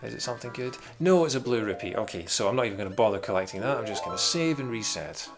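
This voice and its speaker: A man's voice: lowish voice, expressive, fast. A man with a mild Scottish accent, casually commenting on his own gameplay during a live stream, wry and muttering to himself. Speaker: game streamer. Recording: game music playing behind.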